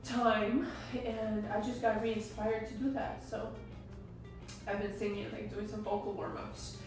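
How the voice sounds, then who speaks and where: A woman, raspy from singing, chatting casually and emphatically to camera as a psychologist vlogger. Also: mid-range voice, flat delivery, measured pace, recorded from a distance, music in the background. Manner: chatty, emphatic, many asides. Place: indoors at home, sunny room. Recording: very echoey room, mic set back.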